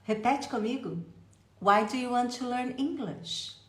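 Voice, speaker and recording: A Brazilian woman with a Brazilian accent, teaching English pronunciation in a lively way and slowing down to drill phrases for the listener to repeat. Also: fairly high pitch, echoey room. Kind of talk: pronunciation lesson.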